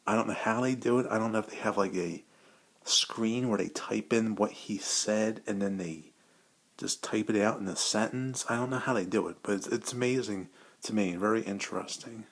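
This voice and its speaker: Male hobby vlogger, fairly low voice, informal and keen, circles back over his words haltingly. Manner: hesitant, repetitive, enthusiastic fan talk. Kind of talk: casual rambling chat.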